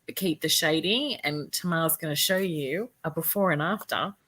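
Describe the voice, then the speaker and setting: A woman's voice: low voice, normal speed, fairly bright tone. A woman with an Australian accent, co-hosting a live craft demonstration and explaining products step by step. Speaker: co-host. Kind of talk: live crafting demo chat.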